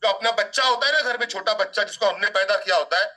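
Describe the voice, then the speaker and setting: A man's voice: high-pitched, fast, bright, forceful tone, distant mic. A man giving a rousing motivational training talk to a sales team, calling out excuses and pushing listeners to rate their skills. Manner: rousing, uses battle metaphors, mimics whiny excuses. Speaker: network marketing trainer.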